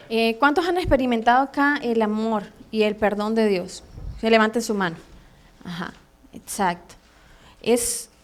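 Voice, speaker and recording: A woman from Venezuela with a Venezuelan accent leads a church training class, her voice sitting a little high. She talks in a chatty, hesitant way, full of fillers and small laughs. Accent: Venezuelan Spanish. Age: adult, has a son. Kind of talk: live classroom teaching. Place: church meeting room.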